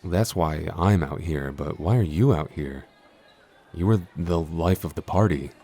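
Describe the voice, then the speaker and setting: A man's voice: low-pitched, unhurried, mellow, faint music behind. A man playing a shy, clumsy giant in a roleplay audio, stammering, hesitant and constantly apologetic. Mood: self-deprecating. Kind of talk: intimate roleplay audio acting.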